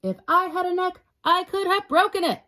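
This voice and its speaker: A woman's voice: very high voice, normal speed, pushed and bright, pitched above the performer's own voice. A woman voicing an anxious but plucky female spider in a children's storybook, with theatrical, exclamatory delivery. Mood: freaked out. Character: dramatic, anxious female cartoon spider turning plucky climber.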